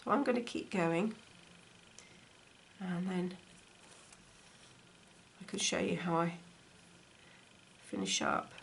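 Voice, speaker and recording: Woman, croaky post-cold voice, gravelly edge, relaxed talk-through craft tutorial, faint dishwasher hum behind. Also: fairly low pitch, takes it slowly, pauses often. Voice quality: slightly gravelly. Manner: chatty, talks while stitching. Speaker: textile crafts teacher. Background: dishwasher running.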